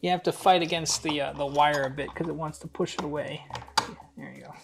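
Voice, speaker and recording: Casual man with a creaky voice. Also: fairly high pitch, steady tone, brisk, music in the background. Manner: informal, talking through each step as he works. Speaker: host of a DIY build tutorial. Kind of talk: casual hands-on instructional narration.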